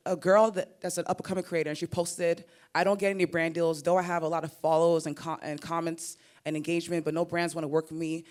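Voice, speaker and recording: A young woman with a Nigerian-American accent, talking animatedly as a creator on a summit panel stage. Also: lowish voice. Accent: Nigerian mixed with American. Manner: animated and expressive, laughs and repeats words. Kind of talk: conference panel talk.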